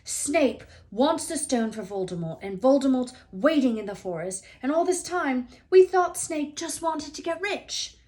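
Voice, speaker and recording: A woman voicing an anxious, excitable young schoolboy wizard in a storybook, urgent and questioning. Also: sits high, unhurried, few pauses, voice pushed up. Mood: feverish, agitated.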